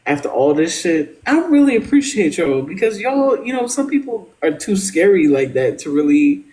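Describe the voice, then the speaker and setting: A speaker in their early thirties hosting a casual live stream, animated, slangy and swearing freely. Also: mid-range voice, moderate pace, rough recording. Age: early 30s. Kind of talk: casual chat.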